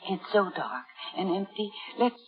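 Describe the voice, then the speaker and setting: An actress playing a timid, lovestruck spinster of sixty in a vintage radio drama, hesitant and dramatic. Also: female voice, mid-range voice, expressive, moderate pace, natural voice. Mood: frightened, pleading. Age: 60s. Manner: breathless, hesitant, dramatic diary narration. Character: timid, lovestruck, naive sixty-year-old spinster keeping a diary. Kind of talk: 1950s radio drama acting. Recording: muffled vintage radio broadcast with music.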